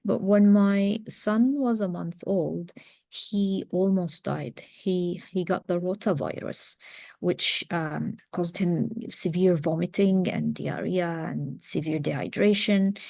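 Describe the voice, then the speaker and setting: A woman's voice: lowish voice, takes it slowly, creaky, darkish tone, dry recording. A woman of around forty, giving an earnest, reflective personal talk as a coach. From mountains of Lebanon. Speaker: parenting coach, series host. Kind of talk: personal talk introducing a series.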